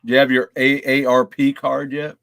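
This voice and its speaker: A man's voice: medium pitch, little pitch movement, moderate pace, vocal fry, fairly bright tone, dry recording. Jokey man chatting, close dry sound. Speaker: video game podcast panelist. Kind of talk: casual chat.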